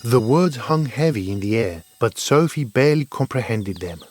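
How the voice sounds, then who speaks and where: Expressive male fairy tale narrator. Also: medium pitch, moderate pace.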